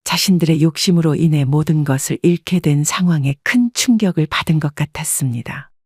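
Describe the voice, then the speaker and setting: A woman narrating a first-person story in a calm, even, reflective scripted reading. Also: low-pitched, fairly quick, breathy edge, no room sound, clean studio sound.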